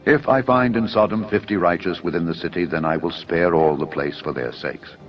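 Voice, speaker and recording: A man playing a solemn God in a dramatised scripture reading, measured, grave and authoritative. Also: sits low, close to an everyday voice. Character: solemn, measured God granting mercy or judgement. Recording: dull narrow audio over music.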